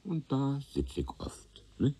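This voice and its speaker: Flustered witness in a crime radio play, husky, pitch jumps around, stammers. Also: male voice, huge pitch swings, slow pace, mellow, exaggerated voice. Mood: chatty. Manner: hesitant, colloquial, stammering with question tags. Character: nervous, evasive male witness, a nosy tenant turning flustered. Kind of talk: radio crime drama acting.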